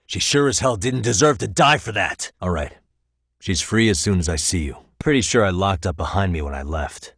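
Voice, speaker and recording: A man's voice: deep voice, no room sound, studio quality. An American man voicing a composed, probing detective in a video game, tough and wry, with controlled, pointed delivery. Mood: composed, decisive. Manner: controlled, pointed, dryly sardonic questioning. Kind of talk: video game voice acting, dramatic interrogation dialogue.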